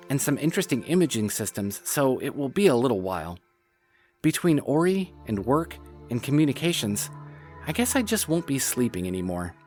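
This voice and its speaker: A man playing an earnest, overworked scientist on an alien world, reading a measured, reflective letter in an audio drama, with music underneath. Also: mid-range voice, natural voice. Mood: wistful, weary. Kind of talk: audio drama letter read as a log message.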